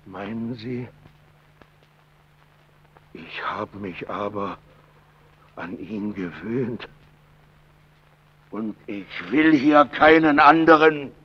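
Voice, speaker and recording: An actor playing a possessive, brooding lighthouse man in an old radio drama, halting and insistent, repeating his phrases. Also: on the high side, pushed and bright, heavily acted. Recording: dull vintage radio play recording.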